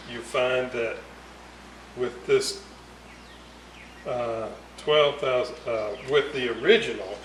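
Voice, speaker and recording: A man giving a casual, unscripted technical explanation as a hobbyist restorer, thinking aloud hesitantly in broken phrases. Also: medium pitch. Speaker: hobbyist radio restorer presenting a repair series. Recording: roomy, distant mic, clear ambient noise.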